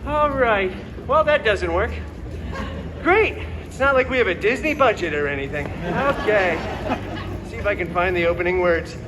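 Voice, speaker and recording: A man's voice: high voice, lively intonation, moderate pace, background noise. A man playing a wisecracking, self-loving masked antihero who tells a comic bedtime story to a crowd with theatrical, sarcastic asides. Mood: playful, showy. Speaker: live stage show storyteller. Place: outdoor theme park stage. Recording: outdoor theme park show, music and crowd behind.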